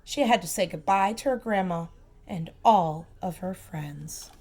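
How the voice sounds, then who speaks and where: A woman reading a storybook aloud to young children, expressive and emphatic, with simple asides and questions for the listeners. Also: fairly low pitch. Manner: expressive, slow emphatic phrasing, simple child-directed comments. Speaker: preschool teacher reading aloud.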